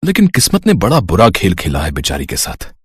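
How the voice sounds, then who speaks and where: Man doing a kind middle-aged dad in a cartoon story, affectionate, low voice, expressive. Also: brisk, slightly rough, no room sound, exaggerated voice. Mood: fond, regretful. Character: kindly, approving middle-aged father of a bride. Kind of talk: Hindi cartoon story dialogue.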